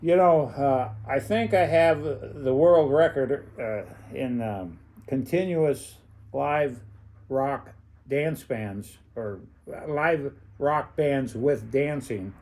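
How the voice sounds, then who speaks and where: An older man with an American accent reminiscing casually as a former club owner, in a halting, rambling way with frequent chuckles. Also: medium pitch, darkish tone.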